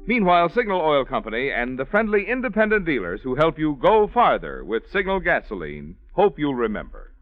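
Male American announcer, old-time radio: fairly high voice, big swoops in pitch, polished and emphatic, muffled recording. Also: huge pitch swings, fairly quick, few pauses, faint music behind. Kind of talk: 1940s radio announcing, scripted.

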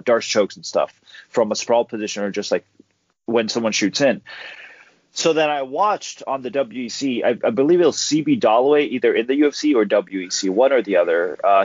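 A man's voice: fairly low pitch, animated, fairly quick, no echo. Laid-back young man, dry dull audio. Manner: laid-back storyteller who laughs easily. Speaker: guest, pro MMA fighter. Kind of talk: casual podcast interview.

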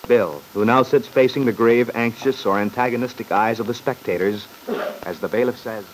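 A man's voice: medium pitch, moderate pace. A man narrating an old-time radio serial in a solemn, formal, dramatic style. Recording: noisy vintage radio recording.

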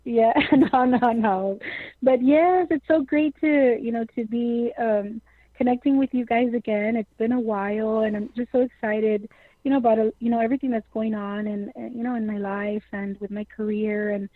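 A woman's voice: a little high, fairly even intonation, moderate pace, dry recording. A woman, speaking effusively and filling her speech with "you know". She comes through a thin, phone-like line with a close, dry sound. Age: 40s. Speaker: singer, guest. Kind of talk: phone-in interview.

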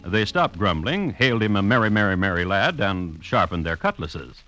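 A man hosts and narrates a radio drama in a low voice. His scripted storytelling is wry and droll, with animated intonation and small deliberate hesitations. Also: soft background music, low-quality recording. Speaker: narrator and host.

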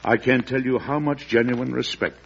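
Guy acting as shrewd patient old-timey president, gravelly voice, earnest speechmaker, dull hissy old radio drama sound. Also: medium pitch, steady tone, natural voice. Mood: calm, conciliatory. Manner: measured, earnest, oratorical when roused. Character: fatherly, principled early American president, shrewd and patient. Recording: dull, noisy vintage radio recording.

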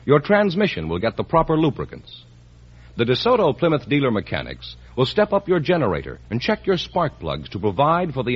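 A man reading a 1950s radio commercial in a smooth, persuasive sales manner. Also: medium pitch. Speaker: commercial announcer. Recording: dull old radio broadcast.

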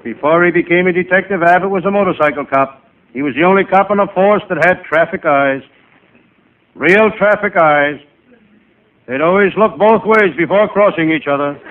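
A male comedian playing a wisecracking, hapless private eye, narrating in deadpan first person in old-time radio comedy style. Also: sits high, normal speed, lightly acted. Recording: noisy, tinny vintage radio recording.

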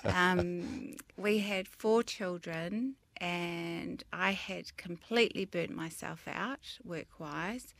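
A woman's voice: lowish voice, takes it slowly, few pauses, fairly bright tone, no echo. Woman, New Zealand accent, middle-aged, earnest interview guest, dry close sound. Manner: earnest, reflective, rephrases as she speaks. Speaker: guest, designer and business owner. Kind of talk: interview conversation.

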